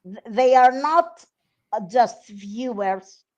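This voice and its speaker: A woman from Greece with a Greek accent, hosting a live stream interview in English with a slow, halting, friendly delivery. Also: on the high side, animated, a little mellow, highs cut off. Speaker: radio host.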